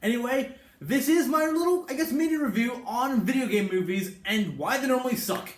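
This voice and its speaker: A man's voice: extremely high pitch, animated, a little fast. A man hosting an informal video review to camera, speaking in a blunt, opinionated, rambling way. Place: home garage, indoors. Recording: echoey room with faint background noise.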